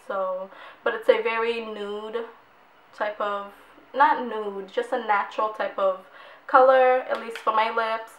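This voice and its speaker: A young woman, hoarse from losing her voice, chatting casually and rambling as she reviews beauty products, repeating words for emphasis. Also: a little high, a bit bright. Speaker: beauty vlogger. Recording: echoey room.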